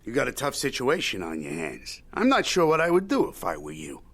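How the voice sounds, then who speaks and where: Wry old mogul, swooping delivery. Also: male voice, medium pitch, wildly swooping pitch, a little fast, fairly bright tone, slight background noise. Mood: wry, unbudging. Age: older. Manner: wry, needling, Yiddish-peppered wheeling and dealing. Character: shrewd, folksy old media mogul, haggling showman. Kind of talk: audio drama acting.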